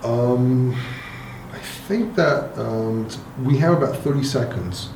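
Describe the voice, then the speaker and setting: A man in his thirties with an Israeli accent, explaining things in a relaxed, hesitant way with many fillers. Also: mid-range voice, takes it slowly, noisy background. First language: Hebrew. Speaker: interviewee. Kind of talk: casual explanatory talk. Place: bomb shelter in an apartment building. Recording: echoey concrete room.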